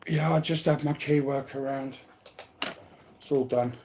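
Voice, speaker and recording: Casual, sweary, mid-pitched speaker. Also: steady tone, unhurried, darkish tone, slight background noise. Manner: sweary, informal, repeats phrases. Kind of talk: casual chat.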